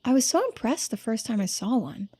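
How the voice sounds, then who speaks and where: Casting: female performer for a thoughtful young game character, soft-spoken and polite, high pitch, gentle dark-toned delivery. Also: sing-song, brisk, husky. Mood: musing. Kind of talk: voiced game dialogue and narration.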